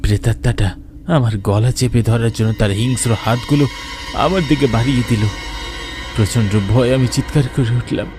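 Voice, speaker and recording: A man's voice: mid-range voice, steady tone, lightly acted. Guy acting as scared villager telling his ghost story, midrange voice, suspenseful, music behind. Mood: fearful, suspenseful. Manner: suspenseful, deliberate. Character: frightened village husband narrating his ghost encounter. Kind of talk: dramatic first-person horror narration. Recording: prominent music behind narration.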